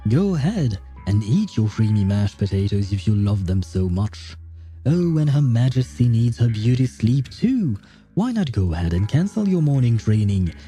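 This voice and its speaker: A man's voice: on the low side, dark tone. A man voicing a scornful older coach from a game story, sarcastic and cutting. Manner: mocking, sarcastic.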